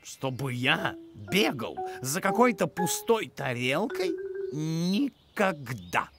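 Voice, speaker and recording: Grumpy show-off cartoon cat guy, low voice, slow n haughty, music behind. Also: very animated, full character voice. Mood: indignant. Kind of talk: children's cartoon acting.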